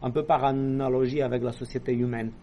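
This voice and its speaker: A man lecturing in a classroom, his pitch sitting in an ordinary middle range. He explains patiently, breaking in with hesitations and apologetic little jokes. A faint noise hums under the recording. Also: flat delivery, fairly quick, highs cut off. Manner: explanatory, asides and apologetic quips. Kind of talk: university lecture.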